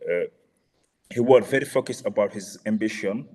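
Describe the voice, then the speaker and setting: A man from Senegal with a French accent, whose first language is French, speaking haltingly on a panel and searching for words often. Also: fairly low pitch, mellow. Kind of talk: panel discussion.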